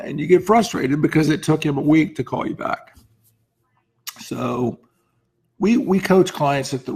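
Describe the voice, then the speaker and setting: Hoarse man with a gravelly, creaky voice. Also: medium pitch, a little mellow. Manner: frequent throat clearing. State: hoarse voice from laryngitis. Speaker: lawyer hosting a firm's advice segment. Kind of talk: informal explanatory talk to camera.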